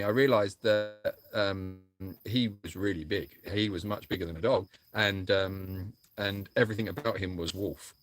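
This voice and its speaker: A man's voice: on the low side, a bit bright, no room sound. A man co-hosting a casual livestream chat, telling a rambling anecdote and thanking viewers by name. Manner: rambling anecdotal storytelling with restarts. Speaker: sanctuary co-host.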